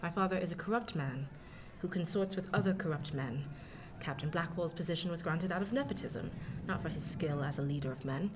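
Actress as a defiant young gentlewoman over noisy ambience. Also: lowish voice, a little fast, lightly acted. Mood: defiant, resolute. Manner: formal, emphatic period diction. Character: defiant well-born eighteen-year-old woman, formal, bitter, resolute. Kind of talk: period radio drama acting. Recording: clearly noisy, ambient sound behind.

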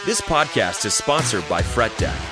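Lively male podcast announcer over loud guitar music. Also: medium pitch, normal speed. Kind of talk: scripted show intro announcement.

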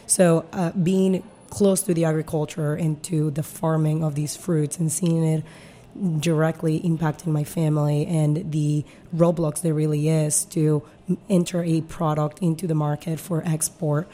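A woman's voice: deep voice, little pitch movement, unhurried, dark tone. A woman, a company founder earnestly explaining her career in a podcast interview, with frequent fillers. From Peru.

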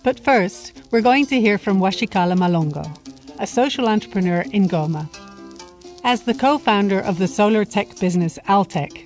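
A woman narrates a documentary feature from a script. She lays out facts in a measured, explanatory way at a middle pitch. Faint music plays beneath her voice. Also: animated, a little mellow. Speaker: host and narrator.

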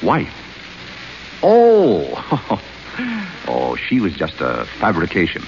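An actor playing a calm, dry-witted gentleman butler in 1930s radio acting, gentle and patient, on a muffled old radio broadcast. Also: deep voice, very slow, a little hiss or hum, exaggerated voice. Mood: amused.

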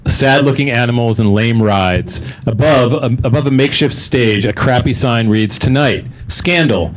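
A man's voice: mid-range voice, normal speed, a little hiss or hum, rough recording. A man reading comedy stage directions aloud in a dry, matter-of-fact way as narrator and host.

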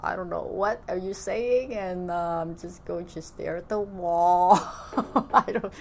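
A woman, speaking haltingly and softly as an interviewee recalling her family's history. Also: on the low side, slight background noise. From Vietnam. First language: Cantonese. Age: 40s. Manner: halting, soft-spoken, frequent laughs.

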